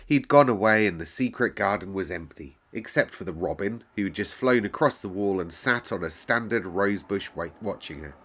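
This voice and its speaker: Man reading a storybook aloud, low and lively. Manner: calm, measured storybook narration. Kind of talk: reading a classic novel aloud.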